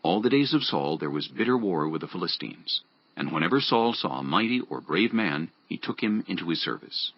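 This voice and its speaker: A man's voice: deep voice, sing-song, fairly quick, no echo, lo-fi sound. A man reading scripted narration for a dramatized audio Bible.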